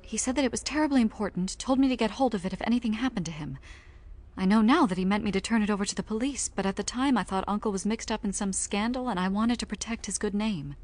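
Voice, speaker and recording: A woman's voice: medium pitch, fast talker, darkish tone, lightly acted. An actress playing an earnest, loyal young woman in a pulp thriller audio drama, explaining herself sincerely. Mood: earnest, confiding.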